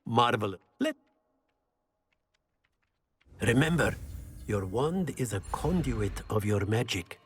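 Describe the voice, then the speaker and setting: An actor playing a jovial wizard teacher in a video game, speaking with flourish and encouragement. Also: male voice, mid-range voice, animated, takes it slowly, long pauses, slight background noise. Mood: encouraging. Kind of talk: scripted video game dialogue.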